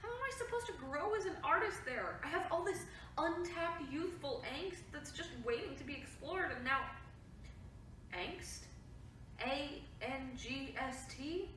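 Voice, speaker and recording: A woman plays a defiant young woman who is full of self-importance and sarcasm. Her voice is bright and high, and it rises and falls with drama. It is heard from across a very echoey room, with a faint hiss underneath. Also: expressive, a little slow. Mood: gossipy. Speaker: actor in a staged reading. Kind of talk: virtual staged reading. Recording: echoey room, mic far off.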